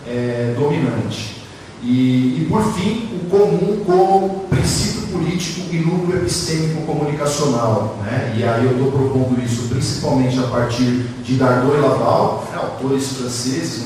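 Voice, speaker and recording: Brazilian man, slightly high-pitched voice, academic lecture, discursive, frequent tag questions, very echoey distant recording. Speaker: academic speaker. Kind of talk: academic lecture at a conference panel. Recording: very echoey hall, distant mic, faint noise.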